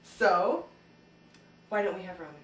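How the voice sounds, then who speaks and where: A woman's voice: lowish voice, measured pace, fairly bright tone. A woman playing an irritable, bossy cooking show host in a comedy sketch, curt and sarcastic. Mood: exasperated. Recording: echoey room, distant mic, music behind.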